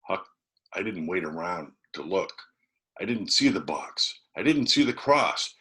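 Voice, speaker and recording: Guy reading as a scared excited country boy from a storybook, voice jumping up high, breathless folksy talk. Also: a little slow, full character voice. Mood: animated.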